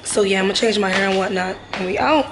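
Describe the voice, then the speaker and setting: A woman's voice: medium pitch, quick pace. A young woman, vlogging casually to camera at home, rambling with slang and fillers. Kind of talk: casual vlog chat to camera. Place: home while traveling. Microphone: phone or camera mic with ring light. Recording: noisy ambient background.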